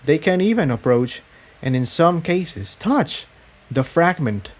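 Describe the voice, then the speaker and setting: A man voicing a grave, weary female pony defector in an audiobook story, speaking in measured, regretful explanations. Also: fairly high pitch, very animated. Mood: grave, explanatory.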